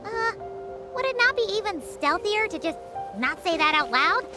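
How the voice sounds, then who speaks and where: A woman voicing a small, excitable, childlike fairy companion in a video game, chirpy and curious. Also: moderate pace, music in the background. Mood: curious, puzzled. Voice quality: squeaky, childlike. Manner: chirpy, exclamatory.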